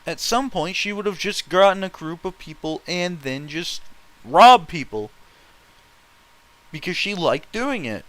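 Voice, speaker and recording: A man's voice: sits high, animated, measured pace, a bit bright, poor audio. A man reading fan fiction aloud and adding casual, blunt, opinionated commentary with heavy swearing.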